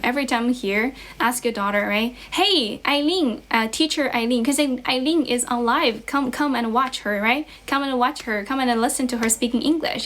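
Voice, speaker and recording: A woman's voice: fairly high pitch, fairly bright tone, a little hiss or hum. A young woman from China who speaks English with a Chinese accent tinged with American, chatting casually and encouragingly to viewers as an English teacher on a livestream, often repeating phrases. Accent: Chinese, with American influence. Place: relative's house at home.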